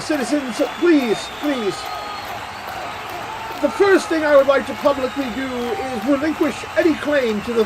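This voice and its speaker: An actor playing a humble, impassioned prince making a declamatory public speech in a fantasy audio drama. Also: male voice, extremely high pitch. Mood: humble, pleading. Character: impassioned male prince, humbly refusing the throne. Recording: loud crowd and background noise. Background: crowd.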